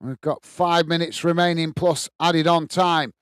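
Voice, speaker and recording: A man's voice: on the high side, steady tone, measured pace, clean tone, no echo, studio quality. An English man hosting a live football watchalong, calling the play steadily and reading out chat comments. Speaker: watchalong host.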